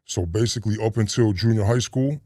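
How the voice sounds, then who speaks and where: A middle-aged man talking casually as an interview guest, relaxed and meandering with frequent restarts. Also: fairly low pitch, a little fast, no echo, clean studio sound. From grew up in a Russian immigrant neighborhood in the US. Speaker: interview guest, retired robber.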